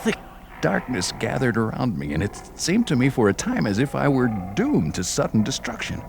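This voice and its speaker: An actor playing an earnest young religious visionary, solemnly and deliberately recounting his vision in formal old-fashioned language in an audio drama. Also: medium pitch, wildly swooping pitch, creaky, background noise. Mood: alarmed, desperate. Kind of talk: dramatized first-person narration, audio drama.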